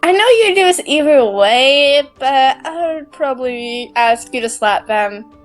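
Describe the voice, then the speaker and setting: A woman's voice: high-pitched, lively intonation, unhurried, faint music behind. A woman playing an uneasy, hesitant guest in a comic character skit.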